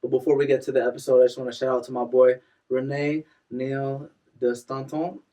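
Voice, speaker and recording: A young man hosting a casual interview show, energetic and quick to hype up his guest and laugh. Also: mid-range voice, recorded from a distance. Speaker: podcast host.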